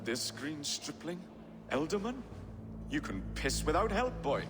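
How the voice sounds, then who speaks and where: Slow, scornful, proud king. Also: a little high, sing-song. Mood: cold contempt. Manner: slow, contemptuous, declamatory. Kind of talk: dramatic video game acting. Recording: long hall reverb, loud music.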